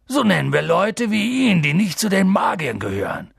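A reader voicing a huge, gruff half-giant man who blusters loudly but turns hushed and worried. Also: high-pitched, huge pitch swings, moderate pace, no echo, studio quality, pitched above the performer's own voice, exaggerated voice. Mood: gruff booming. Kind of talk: audiobook reading.

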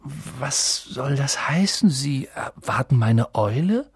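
A man's voice: mid-range voice, lively intonation, takes it slowly, husky, no echo, studio quality, full character voice. A reader voicing a bewildered young boy wizard who asks urgent, stammering questions. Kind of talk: audiobook reading.

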